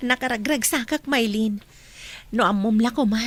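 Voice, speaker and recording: A woman acting a devoted, contented young newlywed in a Filipino radio drama, gentle and sincere, with a Filipino accent. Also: on the high side, measured pace, faint music behind. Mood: happy, loyal.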